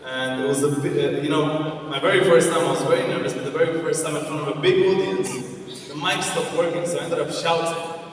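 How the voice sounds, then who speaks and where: A man in his twenties, originally from Afghanistan, hosts a large convention from the stage, energetic and quick to pull the crowd in with questions. His voice sits a little high and rings through a big reverberant hall, heard from some distance off the mic. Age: 20s. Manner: energetic, engaging the audience with questions. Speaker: event host. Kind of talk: conference stage talk. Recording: echoey hall, far from the mic.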